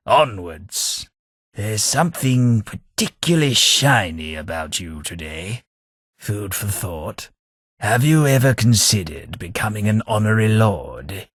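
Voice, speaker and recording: An English actor voicing a posh, sardonic ghoul gentleman companion in a video game, with a plummy, dry delivery. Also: low-pitched, breathy edge, no room sound, full character voice. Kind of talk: game voice lines.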